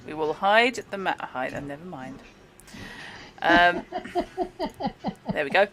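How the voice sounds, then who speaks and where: A woman narrating as game master in a chatty, explanatory way, with fillers and quick laughs. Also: lowish voice, very animated, a bit bright, poor audio. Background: background music.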